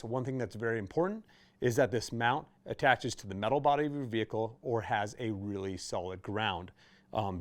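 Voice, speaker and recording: Casual man explaining products. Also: fairly low pitch. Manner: conversational, explanatory, hands-on demonstrating. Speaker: presenter for a CB radio retailer.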